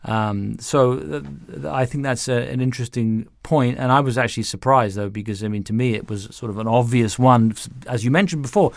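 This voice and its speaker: A middle-aged or older man speaking conversationally as an interview guest, explaining things in an animated, anecdotal way with self-interruptions. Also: mid-range voice, brisk, vocal fry, hardly any pauses, no echo, studio quality. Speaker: interview guest, music director and violin teacher.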